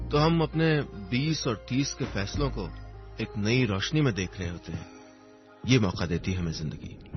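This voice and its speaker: A man narrating a scripted first-person story in a measured, reflective way, with short pausing phrases. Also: on the low side. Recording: dull lo-fi audio under music. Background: instrumental music.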